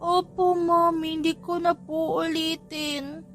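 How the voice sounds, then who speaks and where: A woman's voice: very high voice, little pitch movement, slow, a bit bright, soft background music, pitched above the performer's own voice, heavily acted. A woman voicing a mischievous, boastful little boy with a childlike manner in an audiobook story. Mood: defensive, sheepish. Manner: childlike, polite pleading to mother. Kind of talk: audiobook character voice in a family romance story.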